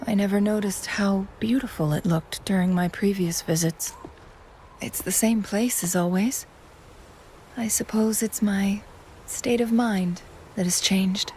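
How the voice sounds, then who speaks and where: An actress playing a poised, gentle young woman guide in a video game, speaking in a measured, softly formal way over faint noise. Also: mid-range voice, natural voice, low-quality recording. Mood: wistful, tender. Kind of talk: video game story dialogue.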